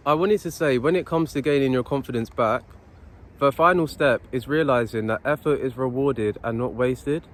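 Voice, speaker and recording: A man's voice: mid-range voice, moderate pace. A young man talking to his phone camera on a city street, giving unscripted self-help advice in an earnest, repetitive, preachy way. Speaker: motivational vlogger.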